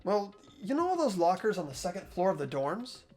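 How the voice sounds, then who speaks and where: Performer voicing an unsure, good-hearted teen boy; stammers, pitch swings widely. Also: sing-song, brisk, darkish tone, soft background music. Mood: earnest. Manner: hesitant, stammering, earnest. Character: earnest, hesitant teenage boy student. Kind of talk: amateur voiced game playthrough.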